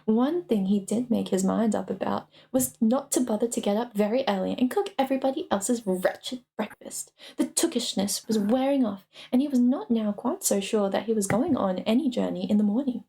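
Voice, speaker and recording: A woman reads a story aloud as narrator. Her voice sits at a middle pitch with a mellow, rounded tone, in the style of a dramatic book reading. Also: normal speed, gravelly, hardly any pauses. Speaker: streamer, narrator.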